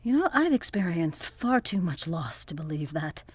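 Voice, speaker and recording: An actress playing a proud, defiant young activist scholar with articulate, formal diction in an audio drama. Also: female voice, lowish voice, big pitch swings, normal speed, slightly rough, dry recording. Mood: indignant, defiant. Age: young adult.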